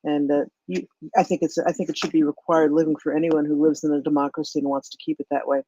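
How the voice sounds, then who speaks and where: A woman's voice: low-pitched, flat delivery, quick pace, dark tone, lo-fi sound. A woman with an American accent chatting casually as a co-host on a live online audio discussion. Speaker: co-host and book club leader. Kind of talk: casual chat. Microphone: live online audio chat.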